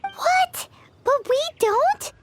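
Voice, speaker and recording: A woman voicing a tiny, excitable fairy girl companion in a video game, with a squeaky childish voice. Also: drawn-out words, heavy background noise, exaggerated voice. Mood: surprised. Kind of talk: scripted game dialogue.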